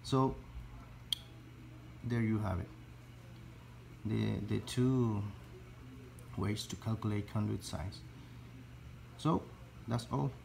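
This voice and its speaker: A man with a Spanish accent, Spanish his first language, teaching an electrical calculation slowly step by step, halting and restarting phrases as he searches for English words. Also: lowish voice, gravelly, a little mellow, a little hiss or hum. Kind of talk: slow step-by-step tutorial.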